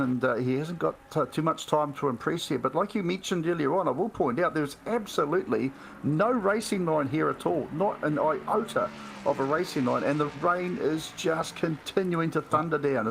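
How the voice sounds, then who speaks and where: A man's voice: a little high, moderate pace, creaky, background noise. A man giving live, conversational motor racing commentary, often asking rhetorical questions as he goes.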